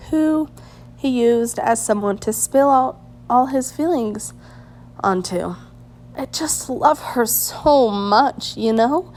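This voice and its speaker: A woman reading fiction aloud as a narrator, halting now and then as she stumbles and repeats phrases. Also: fairly high pitch, a little mellow. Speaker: fan fiction reader. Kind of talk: storybook-style narration read aloud.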